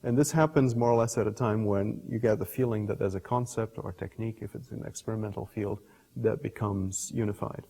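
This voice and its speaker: Husky, mellow man in reverberant room. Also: on the low side. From Portugal. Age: middle-aged. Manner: thoughtful, halting, often rephrasing mid-sentence. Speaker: scientist and professor being interviewed. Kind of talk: reflective interview talk, explaining ideas. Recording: reverberant hall-like room echo.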